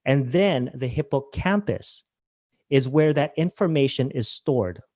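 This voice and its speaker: A man's voice: medium pitch, a little slow, a little mellow, no echo, clean studio sound. A man from Hawaii presenting a webinar, talking conversationally and asking his audience questions. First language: Filipino language.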